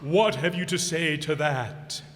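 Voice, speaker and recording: A man's voice: on the high side, unhurried, fairly bright tone, slight background noise. A man playing a pompous, sinister mystery narrator who intones tauntingly in a radio parody, recorded in an echoey hall. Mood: ominous, taunting.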